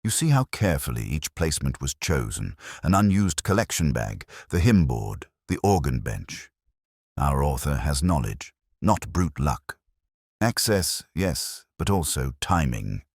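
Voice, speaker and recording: A man playing a shrewd, formal police inspector who speaks deliberately in a mystery story. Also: a bass voice, very animated, darkish tone, no room sound, clean studio sound, exaggerated voice. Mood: musing. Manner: formal, cryptic, deliberate phrasing.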